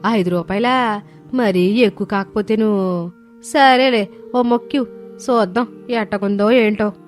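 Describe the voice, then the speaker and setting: A woman voicing a nosy, sharp-tongued older village woman. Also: mid-range voice, slow pace, faint music behind, close to an everyday voice. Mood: grudging. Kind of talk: dramatised moral story dialogue.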